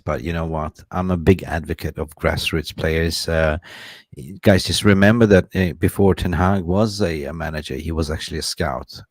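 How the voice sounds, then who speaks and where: A man's voice: deep voice, dry recording. A man co-hosting a football talk show, passionate and emphatic, pushing his opinions in punchy phrases.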